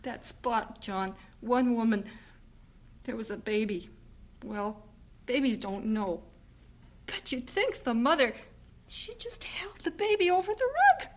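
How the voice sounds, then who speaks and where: An earnest, expressive young missionary wife in a radio drama. Her voice sits fairly high. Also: slow pace, pauses often, heavily acted. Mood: upset. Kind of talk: 1950s-style radio drama acting.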